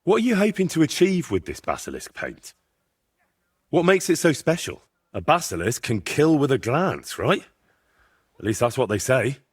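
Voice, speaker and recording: A man's voice: mid-range voice, huge pitch swings, normal speed, breathy edge. An actor playing a cheeky, curious young man in a medieval game, talking in a casual, persuasive way. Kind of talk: dramatic game dialogue acting.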